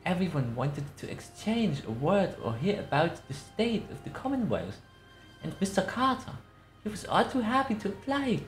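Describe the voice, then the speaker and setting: An elderly, wistful matriarch, played by a woman tells her memories in a folksy, dramatic way, as in a reading from a game world. Her mid-pitched voice rings in a roomy space, with faint music underneath. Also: sing-song, normal speed, lightly acted. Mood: wistful, fond. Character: elderly matriarch recounting a tragic night, wistful storyteller. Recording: roomy echo.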